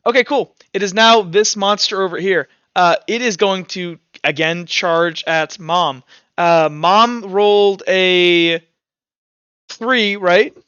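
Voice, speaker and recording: Guy game mastering off the cuff, bright high voice, kinda lo-fi recording. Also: steady tone, slow, smooth, no room sound. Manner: casual, thinking aloud while tallying rolls. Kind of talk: improvised tabletop game narration.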